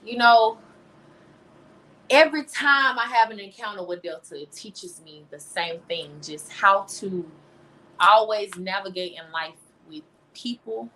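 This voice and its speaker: A woman's voice: mid-range voice, a little slow, bright, forceful tone, low-quality recording. Young American woman, casual and emphatic. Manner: emphatic, informal, peppered with "you know". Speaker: interview guest, teacher and singer-songwriter. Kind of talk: casual conversational interview answers.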